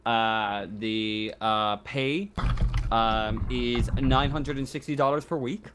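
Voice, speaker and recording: A man's voice: mid-range voice, steady tone, noisy background. A man speaking as game master of a tabletop game, unscripted, hesitant and often correcting himself. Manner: hesitant, halting, self-correcting.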